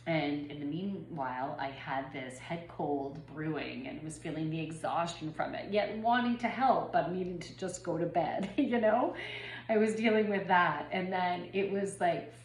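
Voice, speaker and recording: Congested woman in an echoey room. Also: low voice. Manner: rambling, personal, frequent ums and restarts. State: slightly congested from a head cold. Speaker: spiritual reader and yoga teacher. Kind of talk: casual spiritual channeling vlog. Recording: echoey room with faint background noise.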